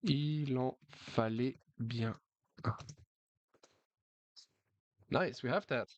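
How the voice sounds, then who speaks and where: A man's voice: mid-range voice, very slow, dry recording, muffled recording. A man chatting casually on a live chess stream, reading the chat and often repeating his words. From France.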